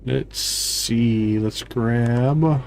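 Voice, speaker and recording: A man's voice: medium pitch, extremely slow, vocal fry, darkish tone, a little hiss or hum. A man hosting a livestream with casual, playful gameplay commentary, half talking to himself. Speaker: livestream host.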